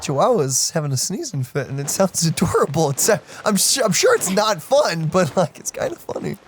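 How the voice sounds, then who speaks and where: A man's voice: sits high, big pitch swings, a little fast, noisy background. A man hosting a let's play with casual gaming commentary, rambling as he thinks aloud.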